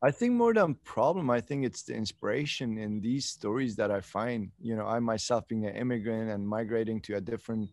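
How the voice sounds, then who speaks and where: A man in his thirties from eastern Turkey, a film director answering interview questions on a video call, earnest and pausing to search for words. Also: lowish voice, lively intonation, no echo. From eastern Turkey, Kurdish region. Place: outdoors in a sunny garden. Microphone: video call laptop mic.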